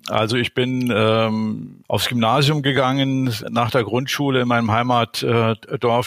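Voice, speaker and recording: An older man from Saarland talks as a podcast guest, his voice gravelly and low in the throat. He recalls things slowly and thoughtfully, and often hesitates. The recording is dry and close, with no room sound. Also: a bit bright, no room sound. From Saarland, Germany. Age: 60s. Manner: reflective, frequent hesitations. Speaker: guest, dental professor. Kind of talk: podcast interview.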